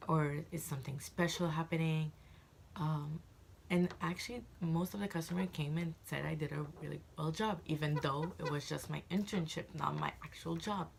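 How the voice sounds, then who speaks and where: A woman's voice: deep voice, gravelly, slight background noise. A woman in her twenties from Egypt, chatting casually to camera as a vlogger, rambling with frequent fillers and restarts.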